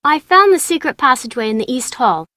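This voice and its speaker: An eager young British girl in a video game. The voice is high and childlike, swinging widely up and down in a playful, bossy sing-song. It is recorded close and dry. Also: very animated, fast talker, sharp tone, no room sound, full character voice. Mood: eager, coaxing. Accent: British English. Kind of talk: video game character voice acting.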